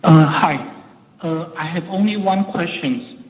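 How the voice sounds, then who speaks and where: Higher-voiced man on an echoey phone line. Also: slow pace. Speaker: financial analyst caller. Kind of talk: asking a question on an earnings call.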